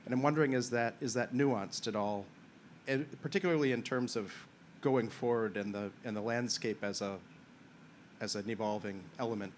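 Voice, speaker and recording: A man's voice: medium pitch, normal speed, slight background noise. A man in the audience asking a question, careful and searching for his words.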